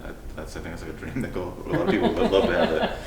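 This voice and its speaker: Casual male interviewer, low voice, informal hesitant questions, recorded off-mic with noticeable noise. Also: very animated, very fast. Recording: echoey, distant mic, clear background noise.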